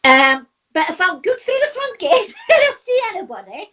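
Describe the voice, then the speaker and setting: A middle-aged woman chats easily, with a high voice that swoops up and down. She laughs often and circles back over her own words. Her talk is loose and friendly. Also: big pitch swings, normal speed, pushed and bright. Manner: chatty, laughs often, repeats herself. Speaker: co-host. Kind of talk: casual chat.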